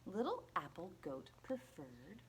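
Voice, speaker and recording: A woman reading a children's picture book aloud in an expressive, deliberate, playful storytelling manner. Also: fairly low pitch, breathy edge, rough recording. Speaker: storybook reader at a library.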